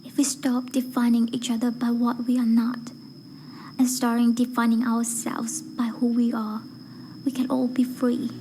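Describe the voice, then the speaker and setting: Higher-pitched woman reciting a speech evenly. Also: monotone, moderate pace, mellow, a little hiss or hum, low-quality recording. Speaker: voice acting learner reading a speech. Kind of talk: rehearsed public speech recitation.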